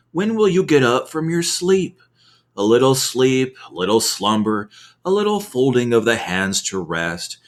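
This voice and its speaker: A man with a fairly low voice reads scripture in an ASMR style. He goes very slowly and deliberately, with wide swings of pitch that add emphasis to the phrases. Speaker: reader of scripture. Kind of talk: slow dramatic scripture reading, ASMR.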